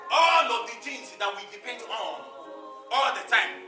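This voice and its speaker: An actor playing an animated orphaned street hustler, telling his hard life in a theatrical stage monologue with a Nigerian accent, dramatic and emphatic, repeating phrases for effect. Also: extremely high pitch, measured pace, exaggerated voice. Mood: dramatic recounting. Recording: echoey stage far from mic, music.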